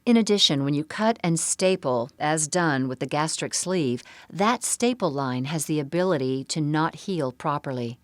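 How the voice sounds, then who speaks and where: Low-voiced woman narrating, very dry sound. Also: animated, moderate pace, hardly any pauses, no room sound. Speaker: seminar narrator. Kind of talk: scripted medical presentation narration.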